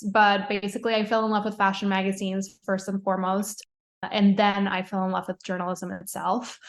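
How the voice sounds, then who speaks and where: Young woman from puerto rico, chatty, lots of um and you know, pretty flat voice. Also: mid-range voice. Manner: chatty, many fillers and restarts, laughs often. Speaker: podcast guest, fashion writer. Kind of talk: casual interview answers.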